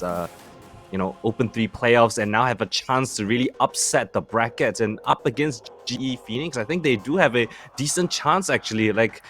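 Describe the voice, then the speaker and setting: A young man from Singapore with a Singaporean English accent, giving relaxed esports desk analysis full of fillers. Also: mid-range voice. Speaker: esports caster.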